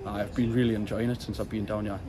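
A man's voice: on the low side, flat delivery, fast talker, crowd noise. A young man with a Geordie accent, chatting as a football fan in a street interview, saying "aye" and "like" a lot. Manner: chatty, peppers speech with "like" and "aye". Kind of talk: street vox pop. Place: city street outdoors. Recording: street noise behind.

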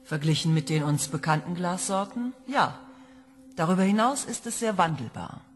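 Casting: eine Frau als sachliche Wissenschaftlerin, die genau korrigiert, mit Hall im Raum. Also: female voice, fairly low pitch, lively intonation, moderate pace, close to an everyday voice. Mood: matter-of-fact. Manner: precise, correcting. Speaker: scientist presenting a discovery. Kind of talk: radio drama acting.

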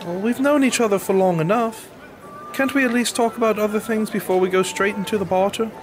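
A man's voice: high-pitched, fairly quick, over music, lightly acted. A man playing an earnest, humble medieval peasant farmer in an audio drama, with a pleading, hesitant manner. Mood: friendly. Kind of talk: audio drama acting and narration.

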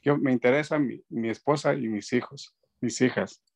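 A man's voice: mid-range voice, normal speed, gravelly. A man in his fifties on a video call from home, a band's keyboardist chatting casually, rambling with many fillers and restarts. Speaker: band keyboardist, guest. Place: indoor room at home. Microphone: video call webcam.

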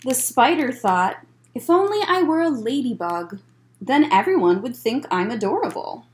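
A woman in her thirties reading a picture book aloud as a children's librarian, in measured phrases with chatty asides. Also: fairly high pitch, very animated. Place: library room, indoors.

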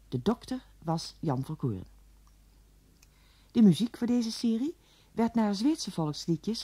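A woman's voice: on the low side, dark tone, breathy edge, no room sound. A woman reading scripted closing credits as the announcer of a radio drama.

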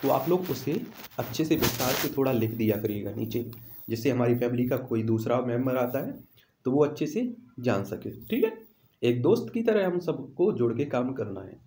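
A man's voice: medium pitch, sing-song, normal speed, dark tone. A man teaching a quiz lesson, repeating questions and answers and checking in with listeners. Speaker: exam-prep teacher. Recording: roomy, echoing voice recording.

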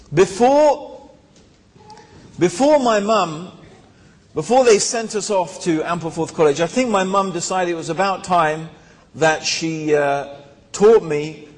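A man, telling his life story to a hall audience, with rhetorical asides and many fillers, into a handheld mic. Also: a little high. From born in Tanzania, raised in England. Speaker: speaker. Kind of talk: personal story talk to an audience. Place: large hall with seated audience. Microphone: handheld microphone. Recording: long hall reverb.

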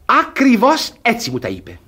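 Husky, fussy, doting guardian. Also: male voice, extremely high pitch, wildly swooping pitch, pushed and bright, full character voice. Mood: smug, pitying. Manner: fussy, patronising, theatrical. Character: smug, doting, gullible older guardian and suitor. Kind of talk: Greek radio theatre comedy acting.

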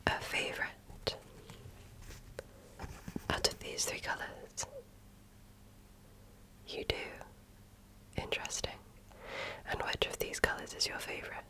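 A woman performs an ASMR medical exam roleplay in a bright, crisp whisper. She speaks very slowly and attentively, in short measured phrases. Her manner is that of a gentle examiner putting questions to a patient.

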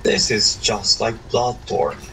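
A man's voice: fairly low pitch, animated, takes it slowly, creaky, sharp tone, slight background noise. A man chatting casually while playing a co-op game, explaining things in an easygoing way. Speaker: gamer in co-op. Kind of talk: casual gameplay chat.

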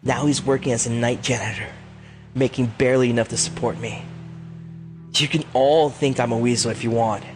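A man's voice: mid-range voice, steady tone, a little fast, faint music behind, lightly acted. A man playing a sarcastic, anxious teenage boy in a fan audio drama, with an emphatic delivery. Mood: somber.

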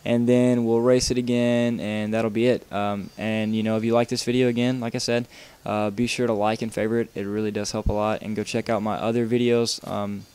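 Rambling, low-voiced young man. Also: little pitch movement, a little fast, hardly any pauses, a little mellow, clean tone. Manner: casual, rambling, many fillers and restarts. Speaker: video game tutorial maker. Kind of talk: rambling tutorial voice-over while playing.

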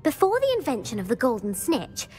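A bookish, eager young student. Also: female voice, high voice, huge pitch swings, fairly quick, a little mellow, faint music behind. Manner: eager and lecturing, bursts of praise. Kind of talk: video game voice acting.

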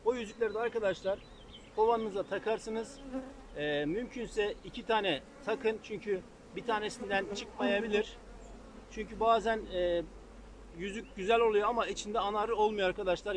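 High-voiced beekeeper teaching outdoors. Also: male voice, fairly even intonation, moderate pace. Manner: practical, addresses viewers as friends, thinks aloud while working. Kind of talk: casual outdoor how-to lesson. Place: open field beside beehives, outdoors. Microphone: far camera on a tripod. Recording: faint field noise with buzzing bees.

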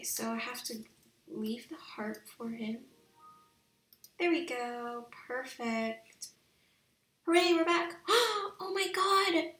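A young woman giving casual, slangy let's play commentary on a video game in an excitable, gushing way. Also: very high-pitched, roomy sound, recorded from a distance. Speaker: video game streamer and channel host.

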